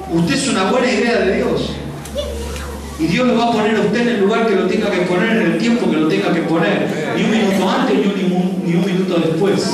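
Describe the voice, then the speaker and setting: Brief: male preacher, fiery, repetitive, high-pitched, recorded from afar in a crowded hall. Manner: rhetorical questions, shouting and repetition. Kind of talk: fiery sermon. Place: large church hall with congregation. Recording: echoey hall with distant mic and crowd.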